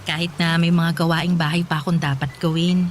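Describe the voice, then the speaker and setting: An actress playing a loving, playful Filipino wife in a radio drama, affectionate and reassuring. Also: female voice, lowish voice, little pitch movement, brisk, slight background noise, close to an everyday voice. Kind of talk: radio drama acting in conversational Tagalog.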